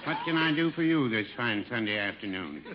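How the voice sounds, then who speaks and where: A man playing a mild, folksy older American shopkeeper, deadpan and hesitant, in a 1950s radio comedy. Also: mid-range voice, a little fast, close to an everyday voice. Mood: mild, helpful. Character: mild, folksy older druggist, dryly literal and henpecked. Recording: muffled, clicky, very noisy radio recording.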